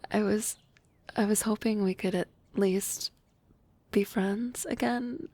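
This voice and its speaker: A woman voicing a young harpy classmate in love in an ASMR roleplay, soft and often whispering, hesitant and teasing. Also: medium pitch, vocal fry, no echo. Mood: hurt, resolute.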